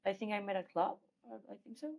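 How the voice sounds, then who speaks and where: A woman's voice: medium pitch, lively intonation, fairly quick, vocal fry, a little mellow. A woman from Mexico with a Mexican accent, talking casually as an athlete being interviewed. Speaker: guest, professional MMA fighter.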